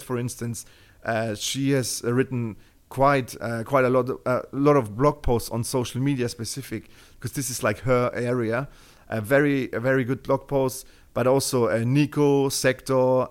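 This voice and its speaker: A man's voice: mid-range voice, clean tone. A man from Germany with a German accent, speaking as a podcast guest in a casual interview, rambling with many fillers and restarts.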